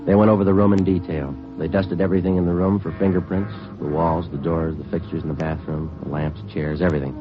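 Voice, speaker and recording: An actor playing a terse police detective who narrates a 1950s radio drama in a flat, matter-of-fact way. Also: male voice, low-pitched, quick pace, hardly any pauses, close to an everyday voice. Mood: narrating. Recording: muffled vintage radio broadcast with music.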